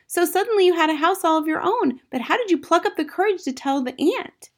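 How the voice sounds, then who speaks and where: A woman voicing a bright, indignant and eager schoolgirl in a storybook, asking emphatic, forthright questions. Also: very high-pitched, lively intonation, fairly quick, voice pushed up. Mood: excited.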